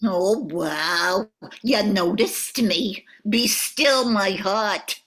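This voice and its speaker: A woman's voice: mid-range voice, very slow, fairly bright tone. An older woman playing a lovesick, sarcastic nymph in a radio play, with a nasal New York accent.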